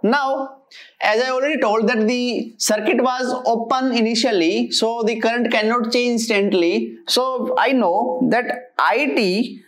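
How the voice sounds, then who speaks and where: Slow, higher-voiced woman lecturing. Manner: methodical, halting while working through equations. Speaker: engineering lecturer. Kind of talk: step-by-step technical lecture.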